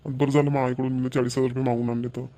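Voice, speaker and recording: Man voicing a eager young husband, quick talker, mellow. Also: mid-range voice, little pitch movement, close to an everyday voice.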